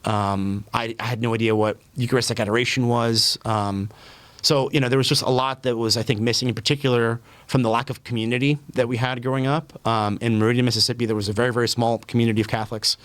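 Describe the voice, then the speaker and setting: A man's voice: mid-range voice, quick pace, no room sound. A man in his thirties from Puerto Rico, a podcast guest talking casually with lots of ums and restarts. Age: 30s. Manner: relaxed, many fillers and restarts. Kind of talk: casual conversational interview.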